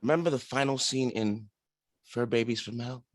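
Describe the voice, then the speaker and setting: A man's voice: medium pitch, normal speed, no room sound, clean studio sound, close to an everyday voice. An actor playing a threatening, demanding gunman in a dramatic table read. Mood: menacing, impatient. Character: menacing gunman holding women hostage.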